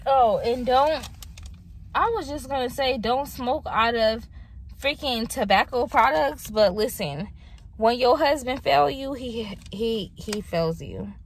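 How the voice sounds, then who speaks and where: A woman's voice: a little high, slow pace. Tipsy, rambling woman in a noisy car. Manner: rambling, swears freely. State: a little tipsy. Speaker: vlogger. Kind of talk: casual chat. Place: inside a car.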